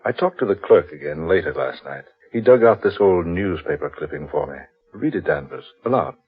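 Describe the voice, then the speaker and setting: A man's voice: low-pitched, expressive, fairly quick, breathy edge, full character voice. A man playing an ominous, superstitious old man in an old radio horror play, grave and theatrical. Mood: insistent. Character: ominous old man who believes in eerie legends. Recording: thin, phone-like old radio recording.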